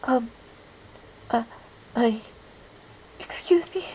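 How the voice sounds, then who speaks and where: A man voicing a shy, soft-hearted female cartoon pony who speaks in a timid, hesitant way. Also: extremely high pitch, drawn-out words, a little hiss or hum, pitched above the performer's own voice, exaggerated voice, rough recording. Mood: shaken.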